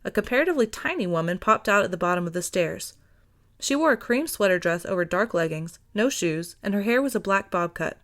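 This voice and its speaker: A woman's voice: mid-range voice, lively intonation, fairly quick, fairly bright tone. A woman reading scripted fiction as an audiobook narrator.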